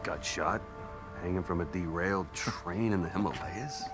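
An actor playing a weary, middle-aged American ex-convict in a video game, with a casual, wry, slangy delivery. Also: male voice, sits low, moderate pace, loud music behind the voice, natural voice. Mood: eager, teasing. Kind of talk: naturalistic cinematic game acting.

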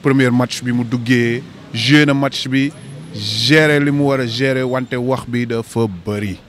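Emphatic male fan outside, mid pitch, faint street ambience. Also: steady tone, unhurried, slight background noise. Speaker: football fan interviewed. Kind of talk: street interview. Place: snowy city street outdoors. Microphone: handheld mic.

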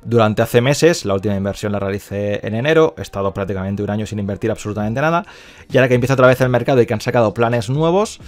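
The low-pitched man from Spain you'd hear on an online investment tutorial, talking you casually through his screen and checking that you follow. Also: fast talker. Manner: explanatory, repeats himself and checks in with tag questions. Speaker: video presenter promoting crypto mining investments. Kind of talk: casual explanatory screen-share commentary.

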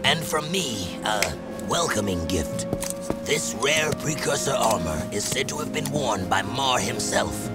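An actor playing a proud older deposed king who leads a desert clan in a video game, speaking gravely. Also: medium pitch, big pitch swings, unhurried, over loud music. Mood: solemn, proud. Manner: grave, stately. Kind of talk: dramatic video game acting.

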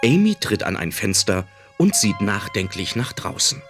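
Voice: low-pitched, very animated, normal speed, a bit bright, soft background music. A narrator reading scripted narration for an audio drama.

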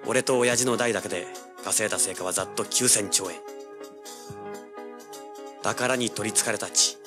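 An actor playing a self-assured, hard-boiled teenage treasure hunter, narrating and speaking in an audio drama. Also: male voice, on the low side, moderate pace, natural voice. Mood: confident, dramatic. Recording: music behind the voice. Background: background music.

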